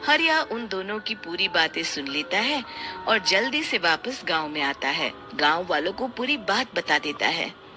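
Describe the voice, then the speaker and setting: A woman's voice: fairly low pitch, very animated, unhurried. A woman narrating a scripted moral story as a storyteller. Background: music.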